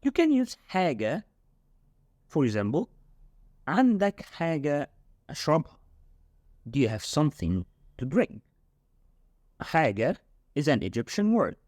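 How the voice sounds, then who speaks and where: Man, language tutor, animated pitch, dry recording. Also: no echo. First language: Arabic. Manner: explains slowly and repeats words. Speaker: Modern Standard Arabic tutor. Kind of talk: casual language lesson.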